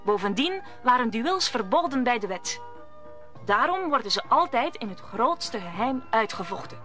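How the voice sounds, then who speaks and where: A woman's voice: a little high, a bit bright. A woman narrating an audio drama in a scripted storytelling style.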